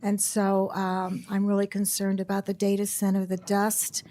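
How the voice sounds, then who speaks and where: A woman with a raspy voice reading a prepared letter aloud as a public commenter at a county commission meeting. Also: medium pitch, monotone, normal speed, warm tone.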